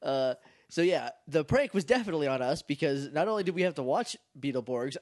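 A man co-hosts a relaxed podcast, talking with a slightly raised pitch that swings widely as he chats. His voice is a touch nasal and he laughs often. The sound is dry and close. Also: fairly quick, no echo. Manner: chatty, laughs often, animated. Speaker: podcast co-host. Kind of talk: casual chat.

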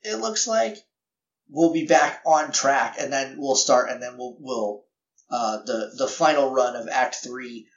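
A man's voice: medium pitch, measured pace, echoey room, dull audio. A man hosting a podcast, giving casual, rambling announcements in a slightly scratchy voice, often correcting himself and chuckling at his own remarks. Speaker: podcast host.

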